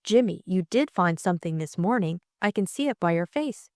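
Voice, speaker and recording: A brisk young society woman turned sleuth acted by a female reader, inquisitive and forthright. Her voice sits slightly low for a woman, pointed and wry, in a dry, close audiobook recording. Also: animated, darkish tone, no room sound. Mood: probing. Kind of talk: audiobook character acting.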